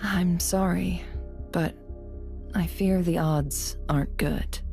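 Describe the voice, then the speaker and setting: A woman playing a solemn, gentle, formal character in a fantasy video game. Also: on the low side, fairly even intonation, creaky, faint music behind. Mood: sorrowful. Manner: slow, formal.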